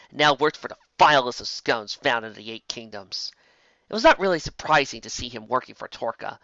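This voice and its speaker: Bright, forceful male story narrator. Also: mid-range voice, dry recording, dull audio. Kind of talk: dramatic scripted narration.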